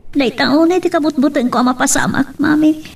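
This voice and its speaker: A woman playing a troubled, anxious and sorrowful adult daughter, with a breathy voice, in an Ilocano radio drama. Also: high-pitched, fairly quick, distant mic.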